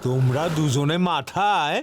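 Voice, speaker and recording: A man's voice: a little high, measured pace, slight background noise, lightly acted. A man voicing a nosy, suspicious tea-stall owner in a children's cartoon. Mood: impatient. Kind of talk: dubbed children's comedy cartoon acting. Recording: loud music behind the voice.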